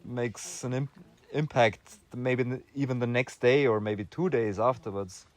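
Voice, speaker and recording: A young man from Austria talks through his answers in a relaxed interview, his voice sitting at a middle pitch. He thinks out loud, restarting phrases and pausing on fillers as he goes. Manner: thoughtful, many restarts and fillers. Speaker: interview guest.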